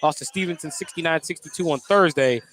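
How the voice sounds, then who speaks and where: A man doing live radio play-by-play of a high school basketball game, describing the action in clipped running phrases. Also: fast, fairly bright tone. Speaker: play-by-play announcer.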